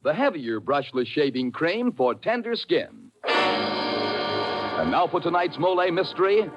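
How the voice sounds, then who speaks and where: Punchy, lively 1940s radio pitchman. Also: takes it slowly, over loud music. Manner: emphatic, repetitive salesman delivery. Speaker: radio announcer reading sponsor ads. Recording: dull old radio broadcast recording.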